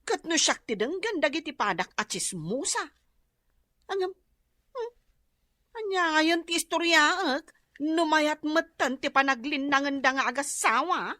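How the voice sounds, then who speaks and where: High, bright, whiny gossip. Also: female voice, lively intonation, measured pace, no echo, studio quality, heavily acted. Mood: gossipy. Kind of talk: Ilocano radio drama acting.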